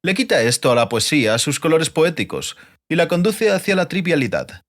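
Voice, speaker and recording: A man's voice: a little high, big pitch swings, normal speed, a bit bright, pitched above the performer's own voice. A man voicing a haughty, sarcastic bard in an audiobook, with a dry and pompous manner. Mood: pompous, lecturing. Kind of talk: audiobook character voice.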